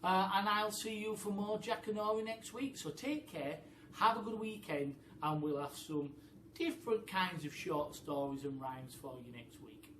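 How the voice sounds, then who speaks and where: A British man tells a story for children in an expressive, teacherly way. He speaks to listeners directly. The sound is echoey and slightly distant, with light background noise. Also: sits high, normal speed. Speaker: primary school teacher, storyteller. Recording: echoey room, distant mic, faint noise.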